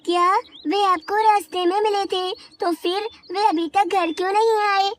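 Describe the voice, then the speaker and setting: A little female bug from a bedtime cartoon, played by a woman, polite and earnest. Her voice is very high and girlish, with a worried edge. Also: steady tone, moderate pace, slight background noise. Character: worried young female insect, polite wife seeking help. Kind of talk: children's animated story dialogue.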